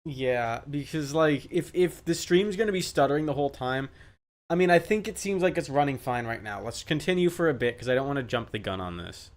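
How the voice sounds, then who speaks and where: Casual male streamer, middling pitch. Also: lively intonation, fairly quick. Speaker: game streamer. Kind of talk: casual live stream chat.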